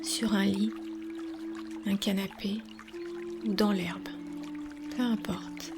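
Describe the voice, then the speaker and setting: A woman guides a relaxation session at a very slow pace, in a calm, soothing and instructional manner. She leaves long pauses between phrases. Music plays clearly behind her, with a trickle of water. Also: mid-range voice. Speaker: yoga nidra guide.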